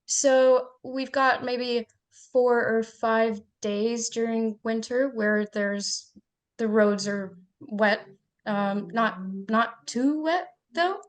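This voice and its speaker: A woman's voice: on the high side, very slow. A woman from Egypt giving a humorous impromptu speech on a video call, laughing now and then. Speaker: meeting guest.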